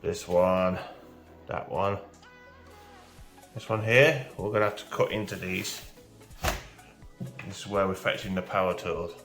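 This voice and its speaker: A man, hoarse from a cold, chatting casually through a hands-on repair as channel host. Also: sits low, unhurried, long pauses. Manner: relaxed, chatty, talks through each step. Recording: roomy echo with faint music.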